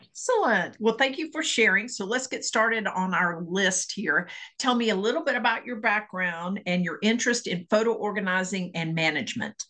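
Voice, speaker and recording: A woman's voice: medium pitch, expressive, moderate pace, sharp tone. A woman hosting an interview, upbeat and encouraging, asking short questions. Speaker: interview host. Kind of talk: casual interview chat.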